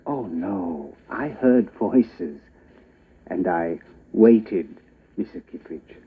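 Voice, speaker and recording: An actor playing a sly, insinuating man in a 1940s radio drama, talking slowly and hesitantly, with a husky voice on a tinny old broadcast. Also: low-pitched, animated, full character voice. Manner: hesitant, slow, creepy insinuation. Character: sly, insinuating middle-aged man, blackmailer type.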